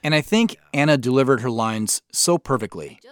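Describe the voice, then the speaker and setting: Lively-pitched man giving director's commentary. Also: normal speed, no room sound. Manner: reflective, explanatory. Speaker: audio drama creator.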